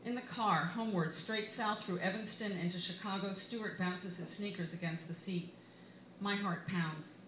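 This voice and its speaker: An American woman, an author giving an expressive live reading of her memoir in a wry manner. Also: medium pitch, normal speed. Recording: roomy, echoey bar with background noise.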